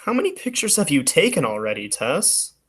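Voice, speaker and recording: A woman's voice: deep voice, very animated, fairly quick, slightly rough, exaggerated voice. A woman playing a firm, businesslike male detective in a 1940s-style radio drama. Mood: casual.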